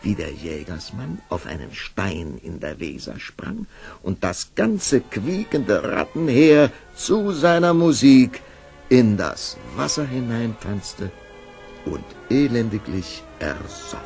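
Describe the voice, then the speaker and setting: A man narrating a children's fairy-tale audio play in an expressive storytelling manner. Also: medium pitch, slow, gravelly, faint music behind. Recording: vintage audio play production.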